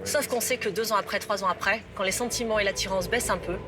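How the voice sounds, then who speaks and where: A middle-aged woman, a love coach, explaining an exercise in a calm, instructive way. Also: medium pitch, fast talker. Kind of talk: coaching talk. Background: background music.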